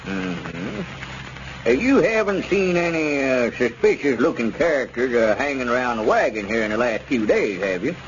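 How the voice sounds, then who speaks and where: Shifty old rural Southern squire, played by a male actor: folksy, hemming, radio comedy, dull recording with clear noise. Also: medium pitch, big pitch swings, normal speed, natural voice. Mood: probing, insinuating. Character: shifty old small-town squire, wheedling and suspicious. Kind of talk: 1930s-40s radio comedy acting. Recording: muffled, noisy old radio broadcast.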